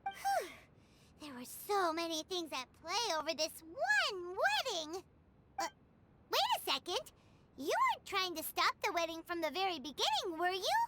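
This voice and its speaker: Chirpy little fairy sidekick, super high and sing-song, heavy noise in the recording. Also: female voice, big pitch swings, normal speed. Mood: excited. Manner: bubbly, exclaiming. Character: tiny floating fairy companion, chirpy and excitable. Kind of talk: video game voice acting. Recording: loud background noise.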